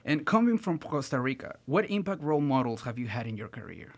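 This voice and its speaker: A man's voice: medium pitch, very animated, fairly quick. A man interviewing guests on site at a summit. He reacts in short, upbeat bursts between questions.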